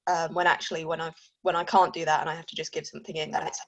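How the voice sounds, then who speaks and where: A woman's voice: deep voice, little pitch movement, racing. A woman writer chatting casually and spontaneously on an online call.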